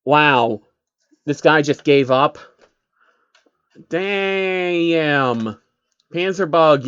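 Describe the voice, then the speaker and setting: A young man reads a fanfic aloud, casual and profane, stopping to correct his own stumbles. His voice sits a little high, and the home recording sounds dull and lo-fi. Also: fairly bright tone, clear, resonant tone. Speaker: narrator reading fan fiction. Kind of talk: comedic story reading with asides. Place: home room.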